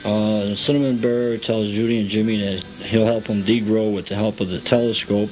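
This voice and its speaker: A man's voice: on the low side, steady tone, fairly quick, darkish tone, rough recording. A man guiding a tour as an interview guest, telling a story step by step in a matter-of-fact way. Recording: music playing behind the voice.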